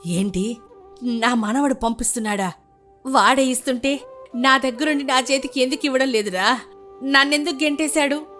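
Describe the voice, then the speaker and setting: A woman's voice: sits high, moderate pace, long pauses, fairly bright tone, faint music behind. A woman voicing an elderly village grandmother, loving and full of grief, in a melodramatic, lamenting storytelling delivery. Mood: bitter, bewildered. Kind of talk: melodramatic moral-story voice acting.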